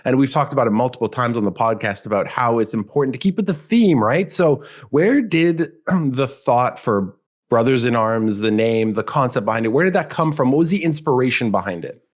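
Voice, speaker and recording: A man hosting a game podcast, slightly hoarse from a cold, upbeat and friendly as he asks questions. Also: medium pitch, animated, fairly quick. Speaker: podcast host. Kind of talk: casual podcast chat.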